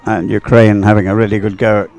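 A man giving unhurried, chatty live race commentary in an encouraging manner. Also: lowish voice, flat delivery, darkish tone, some chatter behind, low-quality recording. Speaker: sports commentator.

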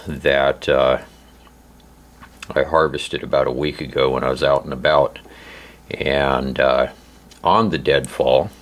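A man with a hoarse, laryngitis-affected voice giving an informal instructional narration, halting and pausing often as he explains. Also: rumbling low. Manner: halting, hesitant delivery with frequent pauses. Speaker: host of a survival channel.

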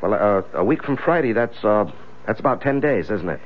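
An actor playing a torn, hesitant middle-aged lawyer and husband in a 1970s radio drama. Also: male voice, fairly low pitch, lively intonation, a little hiss or hum, close to an everyday voice. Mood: flustered. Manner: hesitant, halting delivery. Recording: muffled 1970s radio recording with music.

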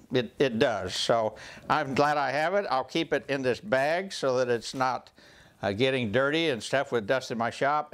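An older man talks through safety masks in his home woodworking shop, plainspoken and unhurried. His voice is smooth and resonant, at an ordinary pitch for a man. He pauses often with a folksy "uh" and speaks into a small clip-on mic. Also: a bit bright. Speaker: woodworking channel host. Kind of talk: casual product overview.

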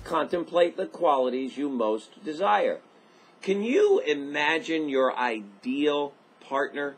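A man's voice: fairly high pitch, takes it slowly, husky, faint music behind. A man in his fifties hosting a daily motivational talk, speaking straight to camera in an upbeat coaching manner and repeating his key points. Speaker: motivational host. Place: indoors by large window, high-rise view.

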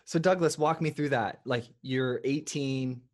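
Young male podcast host, home studio mic, warm and affirming interviewer. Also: mid-range voice, measured pace. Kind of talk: casual interview. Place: home room, indoors. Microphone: studio microphone with headphones.

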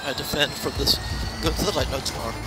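A man's voice: on the high side, fast talker. A man, a racing driver, talking tersely and with exasperation over crackly team radio from his car. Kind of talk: terse in-race radio exchange. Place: open race car cockpit. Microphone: helmet radio mic. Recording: crackly team radio, loud music and engines. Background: loud music, car noise.

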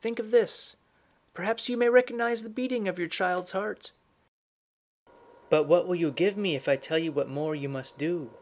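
A storybook crone, as a male narrator puts her on, old and knowing. Her voice sits raised and high, and she speaks in measured, instructing phrases like someone who drives a bargain.

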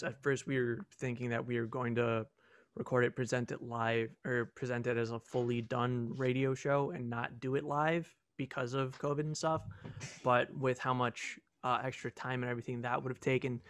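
A man's voice: medium pitch, a bit bright, clear, resonant tone, no echo. A young man answering casually on a video call Q&A as a student audio team member. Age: young adult.

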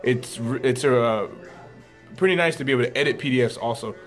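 Upbeat man giving a classroom software demo. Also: medium pitch, brisk, soft background music. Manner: upbeat, folksy, steps through clicks aloud. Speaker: teacher leading a staff tech demo. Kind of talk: casual classroom screen demo. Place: school classroom with computers.

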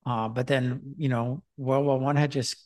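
An older man hosting a video call conversation, talking in a rambling, hesitant way with many pauses. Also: medium pitch, flat delivery, a little mellow. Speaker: host. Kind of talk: casual conversation. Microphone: headset on video call.

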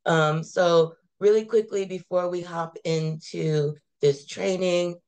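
Congested low-voiced woman on a video call. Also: fairly even intonation, slow pace, darkish tone. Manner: apologetic, conversational, says y'all. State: congested from a cold. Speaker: advocacy manager. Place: home room, indoors.

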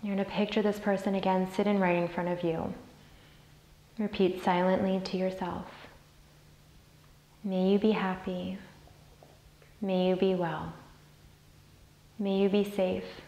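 A woman leading a guided meditation as a teacher, soothing and deliberate as she repeats phrases. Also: medium pitch, mellow, lo-fi sound. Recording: roomy, echoey sound.

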